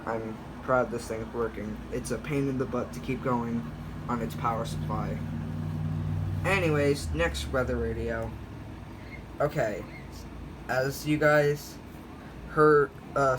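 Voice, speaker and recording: A man giving a casual, unscripted show-and-tell as a hobbyist vlogger, rambling and halting, often correcting himself as he goes. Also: mid-range voice. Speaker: hobbyist vlogger showing a radio collection. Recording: clear background noise, home video.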